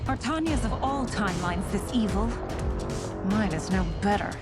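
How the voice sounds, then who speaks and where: Composed warrior woman played by an actress, loud music. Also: medium pitch, animated, normal speed, lightly acted. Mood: wry, resolute. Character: composed, resolute warrior woman, wry ally. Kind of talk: video game cutscene acting.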